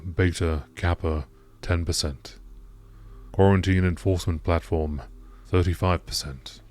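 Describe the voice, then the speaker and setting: A man reading scripted entries as a narrator in a measured, deliberate nature documentary style. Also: low-pitched, a little mellow, dry recording.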